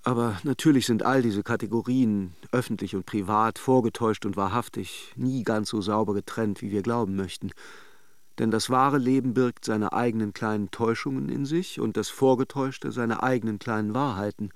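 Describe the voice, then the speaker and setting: A male actor as a melancholic lover in a literary radio drama, reflective and intimate. His low voice has a gravelly creak. He speaks in measured, introspective phrases, tender yet detached. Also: no room sound, studio quality, lightly acted. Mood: reflective, wistful. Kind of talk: literary radio drama, introspective first-person narration and dialogue.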